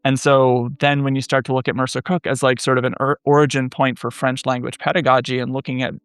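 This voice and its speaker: A man in his thirties speaking as a scholar guest in a podcast interview, measured and thoughtful, pausing often with fillers. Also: medium pitch, level tone, clean tone, no room sound, clean studio sound. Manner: thoughtful, many "um" pauses, measured. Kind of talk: academic interview conversation.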